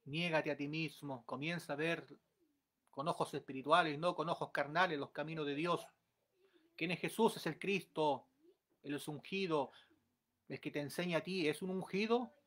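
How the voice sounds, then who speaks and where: A man in his thirties with a fairly high voice preaches an exhorting sermon into a webcam at close range. His delivery is earnest and builds into forceful pleas, recorded in a small room at home. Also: normal speed.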